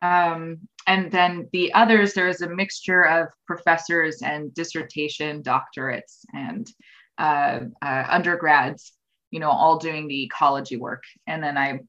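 Low-voiced woman, lo-fi interview audio. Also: a bit bright. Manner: rambling, with many ums and restarts. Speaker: interview guest. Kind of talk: casual interview conversation. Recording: dull lo-fi audio.

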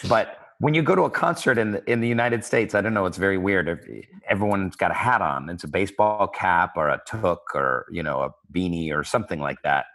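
A man hosting an interview in a casual, joking way with halting fillers. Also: low voice, fairly bright tone. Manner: joking, hesitant, filler words. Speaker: host.

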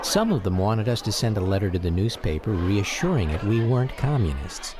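An American man giving a measured, reflective first-person narration for a radio documentary drama. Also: on the low side, sing-song, noisy background.

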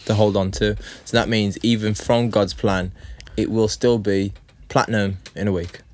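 A man's voice: on the low side, fairly even intonation, moderate pace, a little mellow. A young man co-hosting a podcast who chimes in casually about music with short, laid-back agreeing replies. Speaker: podcast co-host.

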